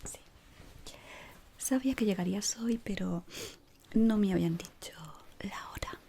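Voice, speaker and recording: A woman doing an ASMR roleplay in a breathy whisper, playing a polite but exacting decorator of an eerie office maze. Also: mid-range voice, slight background noise. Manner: slow, deliberate, pausing, softly spoken.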